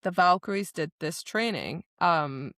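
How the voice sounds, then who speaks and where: A woman with a fairly low, creaky voice co-hosts a casual book podcast. She retells scenes expressively and informally, acting out bits of dialogue. The sound is very dry. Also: lively intonation, measured pace, a bit bright, no echo. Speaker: podcast co-host.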